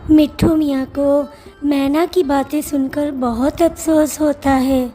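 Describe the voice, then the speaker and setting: A woman's voice: high voice, fairly even intonation, unhurried, warm tone, faint music behind. A woman narrating a scripted children's story.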